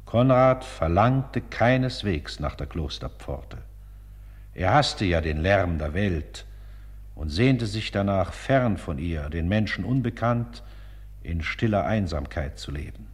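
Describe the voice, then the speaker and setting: A man's voice: deep voice, expressive, takes it slowly, slightly rough. A man giving solemn, declamatory scripted radio narration, with a long reverberant echo.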